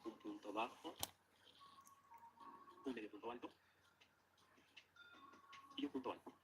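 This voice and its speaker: A man's voice: fairly low pitch, fairly even intonation, moderate pace, background noise. A man giving methodical, matter-of-fact, step-by-step craft instructions as a narrator. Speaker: crochet instructor.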